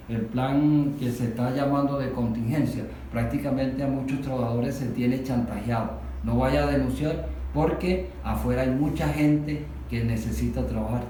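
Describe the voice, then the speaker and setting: Venezuelan male worker, halting explainer who repeats for emphasis; heavily echoing room, distant mic. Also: mid-range voice, warm tone. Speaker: industrial worker giving testimony. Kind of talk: unscripted panel discussion. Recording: very echoey room, distant mic, faint noise.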